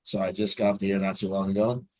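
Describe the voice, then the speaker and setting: A man's voice: sits low, flat delivery, fast talker, darkish tone. A man in his forties from New Jersey, a radio news anchor telling his story as an interview guest in an upbeat, fluent way over a video call.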